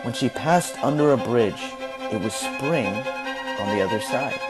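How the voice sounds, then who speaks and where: Animated man reading a story over music. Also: mid-range voice. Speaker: storyteller reading a children's book aloud. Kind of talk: expressive read-aloud narration with music.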